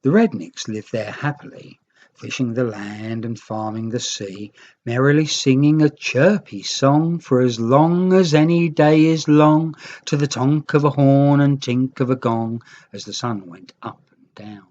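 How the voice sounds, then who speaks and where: An unhurried storyteller narrates a rhyming picture book for children. The delivery is theatrical and expressive, with a medium pitch. The recording is a little dull and lo-fi. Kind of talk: scripted storybook narration in rhyme.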